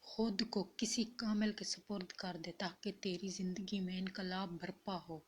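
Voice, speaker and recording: A woman reading spiritual quotes as scripted narration, in a measured and deliberate manner. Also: medium pitch, steady tone, a little hiss or hum.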